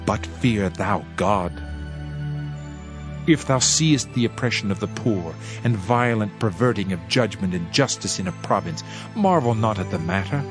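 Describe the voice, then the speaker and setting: A man's voice: fairly low pitch, lively intonation, unhurried. Solemn man reading scripture. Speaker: scripture reader. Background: faint background music.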